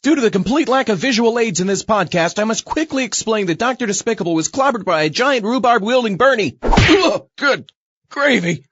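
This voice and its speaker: A man's voice: high-pitched, lively intonation, fairly quick, fairly bright tone, dull audio. A man playing an exasperated, wisecracking zombie sidekick with melodramatic delivery in a comedic audio drama. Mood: pained, melodramatic. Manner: melodramatic, exasperated, swinging from grumble to yelp.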